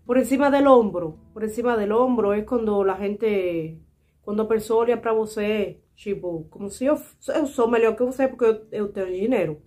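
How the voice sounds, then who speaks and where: A young Cuban woman with a Spanish accent tells her viewers her stories in a heartfelt, indignant way. Her voice sits at a middle pitch and keeps coming back to her audience. Faint guitar music plays quietly under her vlog. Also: normal speed. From Cuba. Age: 20s. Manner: earnest, indignant, repeatedly addressing viewers as "gente". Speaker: vlogger. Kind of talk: personal storytelling to camera.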